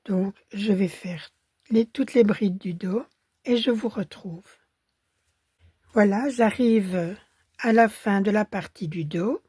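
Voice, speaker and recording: Belgian lady with a cold, husky voice, slow calm crochet lesson, dry close sound. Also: mid-range voice, dry recording. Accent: Belgian French. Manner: calm, counts stitches under her breath. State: hoarse from a cold. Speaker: crochet teacher. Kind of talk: step-by-step crochet tutorial.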